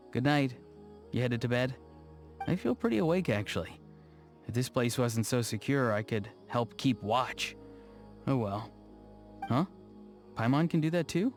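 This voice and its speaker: A man voicing an awkward, earnest young video game character, speaking in a low-key, hesitant way. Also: animated, normal speed, long pauses, natural voice. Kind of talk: scripted video game dialogue. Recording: music behind the voice. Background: faint background music.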